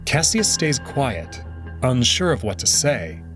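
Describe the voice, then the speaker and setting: Man, visual novel narrator, animated delivery, unhurried, background music. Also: medium pitch.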